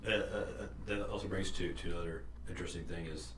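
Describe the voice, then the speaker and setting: A man's voice: sits low, fairly even intonation, quick pace, background noise. A young adult man hosting a trivia podcast, asking questions hesitantly with many restarts. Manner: hesitant, lots of fillers and restarts. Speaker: podcast host, quizmaster. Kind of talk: casual trivia chat. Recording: roomy echo, faint ambience.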